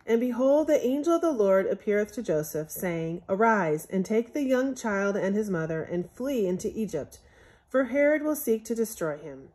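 A woman reading a Bible story aloud from a picture book in measured, scriptural phrasing, as a Sunday school storyteller. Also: medium pitch, very animated, darkish tone.

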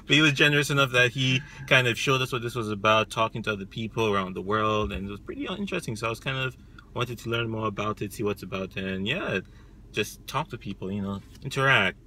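A man's voice: fairly low pitch, fast talker, pushed and bright, slight background noise. A man in his thirties from Nigeria, a park guide, chatting easily in a parked car about trying ham radio. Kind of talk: casual chat. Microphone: camera on the dashboard.

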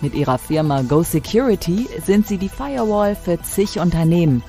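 A woman's voice: fairly low pitch, expressive, normal speed, dark tone. A woman reading a scripted podcast intro in a punchy promotional way. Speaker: show announcer. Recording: studio voice over music bed.

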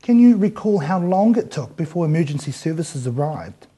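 A man's voice: on the high side, big pitch swings, moderate pace, mellow, breathy edge. A middle-aged man, presenting news and asking interview questions formally from a studio desk on a lapel mic. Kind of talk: scripted news reading and interviewing.

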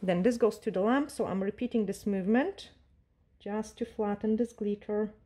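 Woman nail tech, mellow tone, calm step-by-step tutorial delivery with fillers. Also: mid-range voice, moderate pace. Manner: calm, instructive, with frequent fillers and non-native grammar. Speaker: nail technician teaching a tutorial. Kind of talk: step-by-step tutorial narration while working. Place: nail salon desk.

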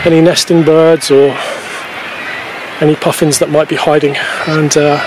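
A man in his forties talking casually to camera as a wildlife photography vlogger, explaining things in a halting way on an outdoor camera microphone. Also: a little high, little pitch movement, noisy background. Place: outdoors on a grassy coastal clifftop. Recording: poor camera-mic sound outdoors, music behind.

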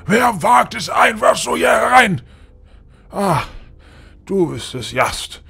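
Gravelly, slow old lord played by a man. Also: sits high, big pitch swings, pushed and bright, raised voice, exaggerated voice. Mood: irritable. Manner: slow, haughty, commanding. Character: frail old lord, imperious and irritable villain. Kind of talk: audio drama acting. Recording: music under the voice.

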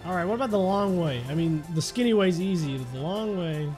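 A man's voice: high-pitched, expressive, brisk. A young adult man giving casual, joking gameplay commentary in a playful, exclamatory, mock-serious way. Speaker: gaming video host. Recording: music behind the voice.

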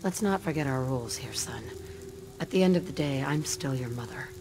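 A low-pitched, ruthless woman commander in a dramatic video game. Her delivery is blunt and cold, with no sentiment. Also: expressive, a little fast, noisy background. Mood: cold, defiant. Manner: blunt, unsentimental.

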